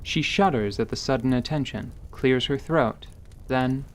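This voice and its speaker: A man's voice: mid-range voice, a little slow, a little hiss or hum. Male narrator, mid-range voice. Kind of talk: scripted story narration.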